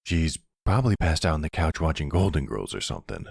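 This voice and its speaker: A man playing a gentle older mafia man and devoted partner, speaking softly and reassuringly in an intimate roleplay. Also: a bass voice, very animated, fast, vocal fry, breathy edge, dry recording, clean studio sound, full character voice. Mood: soothing, vulnerable. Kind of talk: intimate ASMR boyfriend roleplay.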